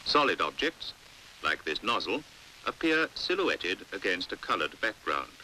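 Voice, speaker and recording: A British man narrating a 1950s educational film in a measured, precise, didactic way, on an old hissy soundtrack. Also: deep voice, sing-song, pushed and bright, low-quality recording. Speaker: documentary narrator. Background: faint hiss.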